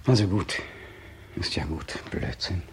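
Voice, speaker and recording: An actor playing a brooding, cynical poet in a radio drama monologue, talking to himself haltingly and slipping into declamation. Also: male voice, deep voice, animated, a little hiss or hum. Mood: muttering, resigned. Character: brooding, cynical man, a poet, waiting restlessly at home. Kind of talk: 1960 German radio drama interior monologue.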